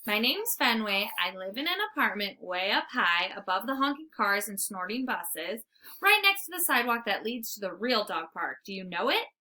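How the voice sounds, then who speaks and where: A woman voicing an eager, boastful young male dog in an excitable, earnest storybook read-aloud. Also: medium pitch, normal speed.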